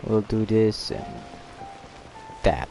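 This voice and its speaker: A man giving casual gameplay commentary as a streamer, halting and half mumbling to himself. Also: on the low side, lively intonation, creaky, warm tone, soft background music, rough recording. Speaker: game streamer.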